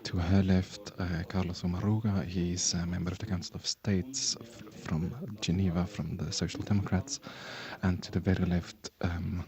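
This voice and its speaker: A man's voice: deep voice, level tone, normal speed, darkish tone. A man moderating a panel discussion at a public event, hesitant and self-deprecating, with frequent fillers.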